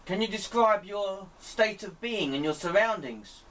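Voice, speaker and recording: A man speaking in deliberate, pleading short phrases, putting questions to a spirit as an amateur experimenter. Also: high voice, expressive, fairly bright tone. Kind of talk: slow spoken questions addressed to a spirit. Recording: distant mic, clear background noise.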